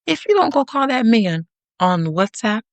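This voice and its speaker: Sassy young woman podcast host, gravelly fry in her voice, dry close sound, teasing the audience. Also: fairly high pitch, sing-song, normal speed, dry recording. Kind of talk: casual monologue to listeners.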